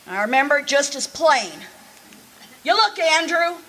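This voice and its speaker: A woman's voice: sits high, sing-song, measured pace, a bit bright, exaggerated voice, poor audio. A woman playing a bossy but fond old country aunt, with folksy, scolding talk, in old-time radio drama style. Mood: insistent. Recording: live performance with background noise.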